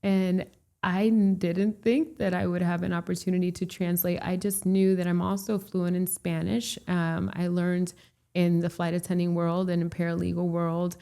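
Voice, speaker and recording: A woman from Puerto Rico, a guest in a casual interview, who talks in an animated, chatty way and laughs often. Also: lowish voice, moderate pace, creaky. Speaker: real estate broker, podcast guest.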